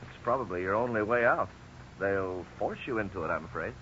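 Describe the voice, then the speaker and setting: An actor playing a frank, courteous lawyer in a 1950s radio drama, speaking in a formal, measured, explanatory way. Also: male voice, on the low side, animated, close to an everyday voice. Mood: frank, reassuring. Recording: dull, noisy 1950s radio recording.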